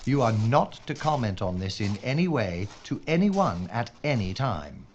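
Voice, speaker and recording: A man's voice: on the low side, sing-song, unhurried, highs cut off, slight background noise. A man playing a composed, wry police inspector in a wartime London audio drama, speaking formally and clinically. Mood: stern.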